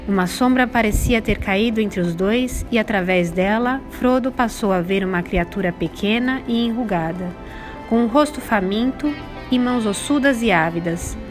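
A woman with a Brazilian accent giving a scripted storybook narration. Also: mid-range voice.

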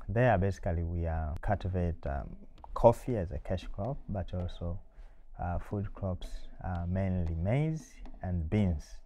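Deep mellow Tanzanian-raised man. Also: lively intonation. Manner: thoughtful, many filler pauses. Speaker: university lecturer and PhD candidate. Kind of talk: seated interview to camera. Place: small indoor room.

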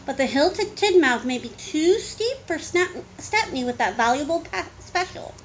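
Mom doing the man in charge of the railway, formal and instructive, high voice, noisy room. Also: expressive, moderate pace. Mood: instructing. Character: authoritative, appreciative male railway controller.

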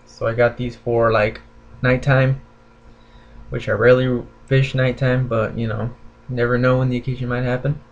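A man's voice: mid-range voice, muffled recording. A young man chatting casually and informally to viewers as a laid-back vlogger showing new purchases. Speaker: fishing vlogger. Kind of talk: casual unboxing chat to viewers. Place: small bedroom, indoors at a desk.

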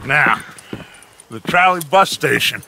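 An actor playing a scheming, grandiose middle-aged American outlaw gang leader in a cinematic video game, speaking with theatrical persuasion. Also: male voice, fairly high pitch, expressive, takes it slowly, gravelly, sharp tone, slight background noise, heavily acted.

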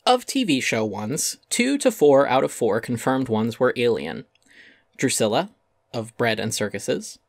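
A man's voice: medium pitch, big pitch swings, measured pace, no echo, studio quality. A man giving a dramatic reading of a critical essay, wry and articulate with theatrical emphasis. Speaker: essay narrator.

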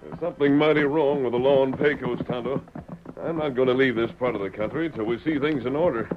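A man's voice: mid-range voice, a little fast, music in the background, close to an everyday voice. A man playing a resolute, commanding masked cowboy hero in a 1930s radio drama, firm, earnest and formal. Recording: crackly muffled 1930s radio recording.

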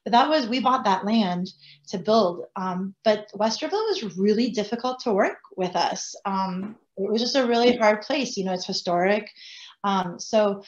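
Casting: woman in her forties, non-native English speaker, lively restaurateur, rambling, slightly dull call audio. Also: mid-range voice, moderate pace. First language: French. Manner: animated, gestures, rambling asides. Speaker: bakery and restaurant owner. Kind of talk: casual panel talk. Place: home room, indoors. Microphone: video call.

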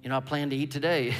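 A man in his fifties preaching a sermon from a large church stage, emphatic and calling out "come on" and "amen", mostly on a headset mic. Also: mid-range voice. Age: 50s. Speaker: pastor. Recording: long reverb of a large hall.

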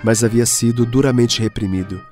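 A Brazilian-accented male narrator tells a radio drama story in a measured way. His mid-pitched voice sits over clearly audible music. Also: steady tone, darkish tone.

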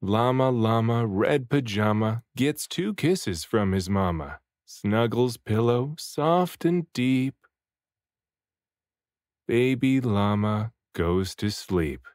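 A man reads a picture book aloud for young children. His mid-pitched voice moves slowly through the rhymes with deliberate rhythm and expression, recorded close and very dry. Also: expressive, a little mellow, dry recording. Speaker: children's book reader, voice actor.

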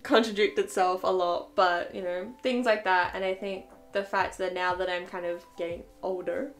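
A woman's voice: fairly low pitch, fairly even intonation, moderate pace. A twenty-year-old woman hosting a casual podcast, rambling with frequent giggles and fillers. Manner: giggly, rambling, laughs often. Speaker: podcast host. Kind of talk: casual chat.

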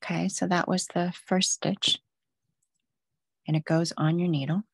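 A woman's voice: lowish voice, steady tone, measured pace, gravelly, husky, dry recording, clean studio sound. A woman patiently walking viewers step by step through knitting stitches in a live online demo. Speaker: knitting instructor.

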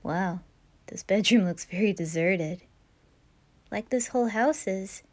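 A woman's voice: medium pitch, a little slow, darkish tone. A woman performing an amateur anime roleplay, voicing the dialogue herself.

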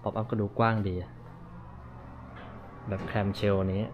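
A man's voice: on the low side, level tone, slow pace, highs cut off. A man in his thirties talking casually as a vlogger, thinking aloud while he looks around a shop. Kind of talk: casual vlog narration. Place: equipment shop, then outdoor lot.

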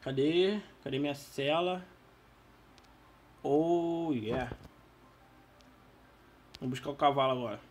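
A Brazilian man who is losing his voice, giving casual, slangy, excitable gameplay commentary. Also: medium pitch, slow, long pauses. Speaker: gaming YouTuber.

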